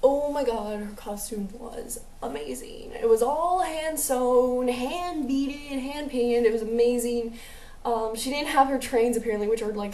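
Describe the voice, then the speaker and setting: Young woman, mixed american accent, higher voice, mellow tone, rambling chatty, echoey room, a bit off-mic. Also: recorded from a distance. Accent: mixed American, New Jersey with a light Southern drawl. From United States. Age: young adult. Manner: chatty, rambling, many fillers and asides. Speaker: vlogger. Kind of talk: casual storytelling vlog.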